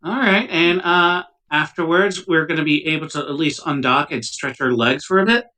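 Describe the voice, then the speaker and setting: A man playing an assertive starship medical officer in a tabletop game, brisk and matter-of-fact. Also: a little high, sharp tone, voice pushed up, low-quality recording. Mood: businesslike.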